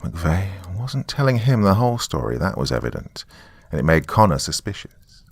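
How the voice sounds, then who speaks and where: A man's voice: low-pitched, expressive, normal speed, creaky, breathy edge, dry recording. A man narrating a scripted first-person horror story in a wry, conversational storytelling manner.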